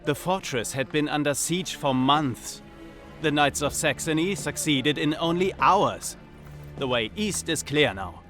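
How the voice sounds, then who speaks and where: Earnest colonel, slightly high. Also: male voice, animated, unhurried, fairly bright tone, soft background music. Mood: resolute. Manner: formal, earnest. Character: dutiful, earnest decorated German army colonel.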